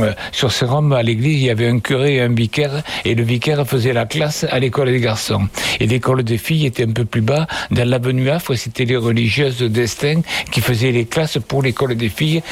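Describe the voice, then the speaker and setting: An older man, answering children's interview questions at an unhurried, storytelling pace. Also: medium pitch, breathy edge.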